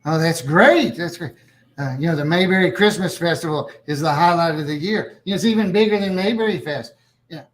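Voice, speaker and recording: A man's voice: a little high, a little fast, natural voice. A man playing a chatty, genial small-town official in a sitcom table read, rambling in a folksy way and repeating himself. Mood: friendly chatty.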